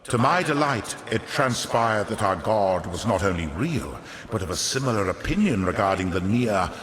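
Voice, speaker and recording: An actor playing a wily, vain, centuries-old merchant lord in a video game, pompous and theatrical, with ornate aristocratic diction. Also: male voice, fairly low pitch, a bit bright. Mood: sly, self-satisfied. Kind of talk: scripted video game character monologue. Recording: echoey hall with long reverb.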